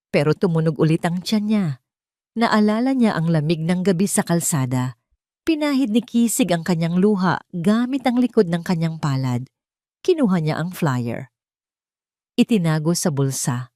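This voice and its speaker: A woman narrates a melodramatic audio story in short, punchy sentences. Her voice sits somewhat low, and the recording is dry and close, with no room sound at all. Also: a little mellow, dry recording. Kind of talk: scripted audio drama narration.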